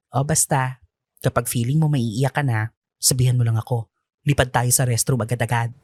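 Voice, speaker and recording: A woman's voice: a bass voice, steady tone, moderate pace, pauses often, darkish tone, no room sound, studio quality. A woman playing a sassy, teasing best friend in a radio drama, with playful, exaggerated, slangy delivery. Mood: playful, bossy.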